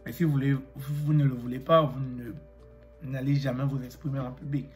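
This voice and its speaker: A man with a cold talking to his viewers about fear of public speaking, pausing often with fillers and clearing his throat. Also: mid-range voice, flat delivery, a little mellow, soft background music. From Africa. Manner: hesitant, many fillers, direct address. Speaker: YouTuber. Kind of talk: motivational talk to camera.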